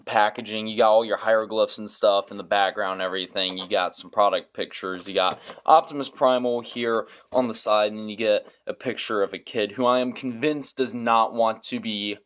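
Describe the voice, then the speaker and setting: A man's voice: fairly low pitch, fairly even intonation, normal speed, few pauses, a bit bright. A man doing casual product review commentary as a toy reviewer, with dry, joking asides.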